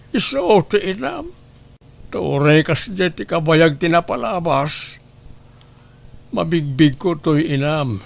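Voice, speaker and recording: Slow, creaky-voiced, remorseful older widower. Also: male voice, fairly high pitch, heavily acted. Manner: halting, slow, heavy pleading delivery. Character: remorseful older widower confessing to a long-ago kidnapping, pleading. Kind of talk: Ilocano radio drama acting.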